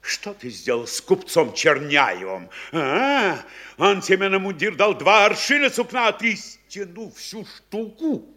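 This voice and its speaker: A man's voice: high-pitched, sing-song, measured pace, pushed and bright, heavily acted. An actor playing a blustering, anxious town mayor in a stage comedy, bossy and flustered as he gives out orders. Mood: angry, scolding. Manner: bossy, flustered, changes orders mid-sentence. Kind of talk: theatrical Russian stage comedy acting.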